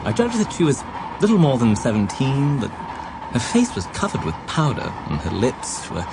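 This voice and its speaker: An earnest young English gentleman in a radio drama. His voice swings very widely in pitch, polite and eager, with a romantic streak. There is clear background noise around him. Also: wildly swooping pitch, moderate pace. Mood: reflective. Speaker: narrator and actor. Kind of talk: radio drama narration and dialogue.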